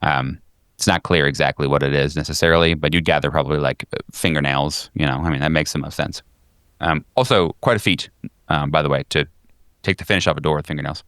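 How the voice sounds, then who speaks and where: A man narrating a tabletop horror game as game master, improvising in a conversational, halting, descriptive way. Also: extremely low pitch, fairly even intonation, a bit bright, smooth, dry recording, studio quality.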